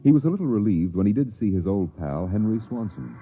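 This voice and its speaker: A man's voice: lowish voice, sing-song, quick pace. A man reading scripted narration for a 1950s radio drama. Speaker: story narrator. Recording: muffled, narrow vintage radio recording.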